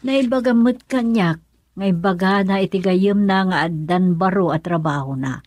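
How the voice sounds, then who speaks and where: A woman's voice: medium pitch, moderate pace, a little mellow, no room sound, lightly acted. An actress with a Filipino accent playing a middle-aged mother, concerned and questioning, in a radio drama. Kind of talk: Ilocano radio drama acting.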